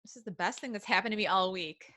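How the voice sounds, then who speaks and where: Giggly woman cohost on a video call livestream, bright sharp voice, excited and chatty. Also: mid-range voice, fast talker. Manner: giggly, excitable, reading out chat messages. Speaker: podcast co-host. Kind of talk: live-stream casual chat.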